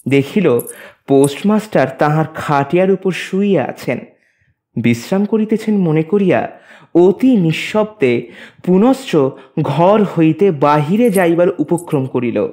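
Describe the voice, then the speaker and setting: Slow, higher-voiced male storyteller. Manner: measured, expressive, formal reading. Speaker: narrator. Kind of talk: scripted literary story narration.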